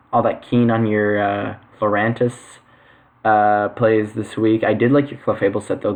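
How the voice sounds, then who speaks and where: A young man with a fairly low voice talks through his weekly league power rankings in a loose, offhand way. He stumbles often, filling gaps with fillers and correcting himself mid-sentence. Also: narrow, tinny audio. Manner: halting, many fillers and self-corrections. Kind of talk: casual commentary.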